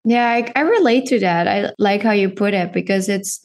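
A woman with a light Dutch accent hosts the conversation in a chatty, friendly way. Her voice sits at a medium pitch, and she talks loosely with casual fillers. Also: normal speed. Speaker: podcast host.

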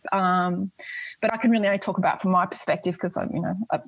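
A woman's voice: lowish voice, fairly even intonation, fast, no room sound, low-quality recording. Chatty Australian woman, close dry sound. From northwest Tasmania, Australia. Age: late 40s. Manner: chatty, rambling, frequent "you know". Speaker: interview guest, Air Force member. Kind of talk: conversational interview, recounting career.

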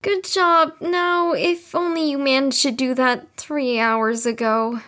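A woman's voice: sits high, fairly even intonation, takes it slowly, a bit bright. A woman playing a prim, inquisitive overseer in an audio drama. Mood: sarcastic.

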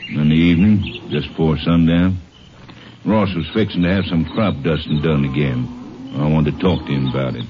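Gruff, low-pitched rancher man. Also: normal speed. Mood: defensive, surly. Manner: blunt, grudging, folksy. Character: gruff, defensive male Texas rancher. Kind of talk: 1950s western radio drama acting. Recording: dull, noisy vintage radio recording.

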